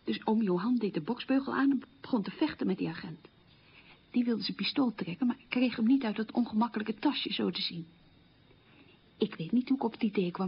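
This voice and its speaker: Resilient woman telling her memories for radio, really raspy n creaky, plain storytelling, bit muffled old recording. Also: a little high, exaggerated voice. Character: resilient woman recalling a poor, hungry wartime childhood. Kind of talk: radio documentary-drama monologue, recounting memories.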